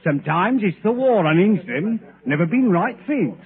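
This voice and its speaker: A man's voice: high voice, very animated, normal speed. An actor playing a talkative, sympathetic young working-class English man in an old-time radio drama. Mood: chatty. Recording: tinny band-limited old radio recording.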